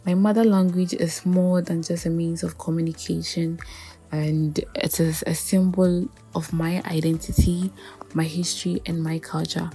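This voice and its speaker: A young woman in her twenties, a university student giving a soft, sincere personal statement. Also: fairly low pitch, breathy edge.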